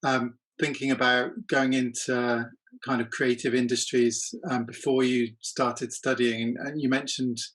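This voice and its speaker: Male podcast interviewer with halting questions. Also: mid-range voice, level tone, fairly bright tone, poor audio. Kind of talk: conversational interview.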